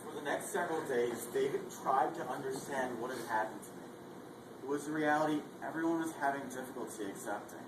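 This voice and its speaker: Steady stage narrator in an echoey hall. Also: medium pitch, brisk, background noise. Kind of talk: scripted narration in readers theatre.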